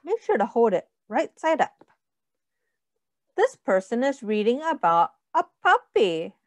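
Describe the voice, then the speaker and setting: A middle-aged woman reads a picture book to small children in a high, very animated voice. She goes slowly, in simple phrases, pausing to put questions to her listeners. The sound is close and dry. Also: no echo. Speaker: early childhood teacher reading aloud.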